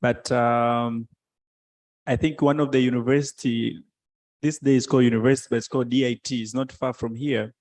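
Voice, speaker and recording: A man's voice: medium pitch, steady tone, normal speed, a little mellow. A man from Tanzania, speaking informally from the floor of a conference and hesitating with ums. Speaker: conference participant.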